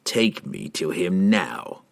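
A man voicing a cold, menacing assassin in a storybook, curt and contemptuous, speaking in a slow, quiet growl. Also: very animated, vocal fry, full character voice. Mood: commanding. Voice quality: low growl.

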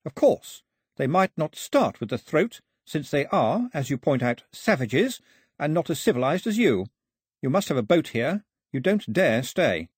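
Audiobook heroine, played by a man: quick-witted young woman, high, breathy, pleading yet defiant, very lively intonation. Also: dry recording, studio quality, heavily acted. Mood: bold bargaining. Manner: urgent, articulate, pleading.

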